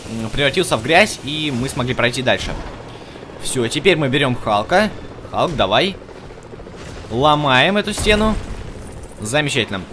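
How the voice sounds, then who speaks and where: A man's voice: medium pitch, background noise. A man giving casual let's play commentary as host, chatting to the game's characters and to his viewers. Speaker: gaming video host.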